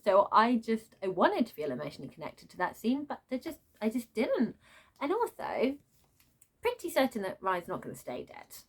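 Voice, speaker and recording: A woman's voice: fairly high pitch, wildly swooping pitch, normal speed, slightly rough. Woman in an exasperated, casual book rant. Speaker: book reviewer. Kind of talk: unscripted book rant, casual vlog chat.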